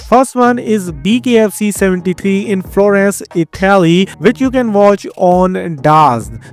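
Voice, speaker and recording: A man's voice: high-pitched, darkish tone, clean tone. A man with an Indian accent hosting an upbeat, scripted rundown of fight news. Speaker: host. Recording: faint background music.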